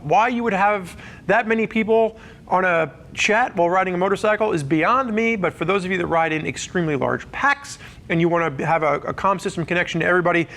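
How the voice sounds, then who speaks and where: A man's voice: high voice, a bit bright. A man presenting a gear review in a conversational, explanatory way, talking through features as a semi-scripted walkthrough.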